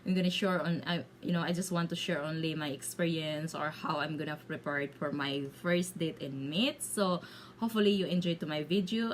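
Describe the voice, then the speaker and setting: A woman from the Philippines with a Bisaya Filipino accent, telling a personal story to viewers in a casual, halting way as she searches for words in limited English. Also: on the low side, slight background noise. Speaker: video blogger.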